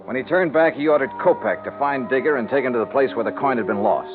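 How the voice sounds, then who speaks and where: An actor playing a world-weary insurance investigator in a vintage radio drama, with clipped, dry delivery. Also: male voice, medium pitch, fast talker, narrow, tinny audio, close to an everyday voice. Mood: wry narrating. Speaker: lead actor and narrator. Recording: band-limited vintage radio, music behind.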